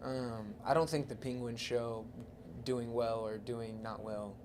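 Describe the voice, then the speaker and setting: A man with a medium-pitched voice talks casually in a podcast discussion. He lays out his counterpoints step by step, with faint noise behind him. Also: fairly even intonation, a little slow. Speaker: podcast co-host. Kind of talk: casual discussion about movies.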